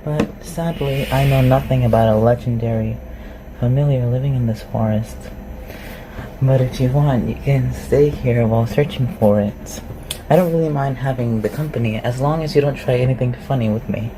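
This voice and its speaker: Fantasy ASMR roleplay: performer as reclusive shapeshifter, chatty, curious, socially awkward, mid-pitched, clearly noisy home recording. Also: moderate pace, lightly acted. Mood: helpful, awkward. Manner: inquisitive, hesitant, rambling questions. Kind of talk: roleplay ASMR audio acting.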